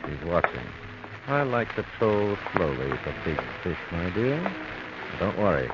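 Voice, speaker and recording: An actor playing a suave, calculating older con man in an old radio drama, smooth and controlling, on a muffled, noisy recording. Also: low-pitched, very animated, a little slow. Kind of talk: 1940s radio acting.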